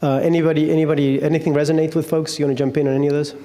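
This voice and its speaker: Flat, mellow man moderating a panel. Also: a little high, very fast, a little hiss or hum. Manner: rambling, questioning, fillers and tag questions. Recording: echoey conference hall.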